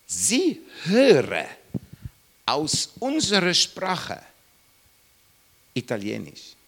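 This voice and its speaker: Emphatic preacher man, swooping pitch. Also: huge pitch swings, very slow, vocal fry, a bit bright, a little hiss or hum. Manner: emphatic, rising and falling sharply, repeats questions to listeners. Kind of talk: Bible teaching sermon.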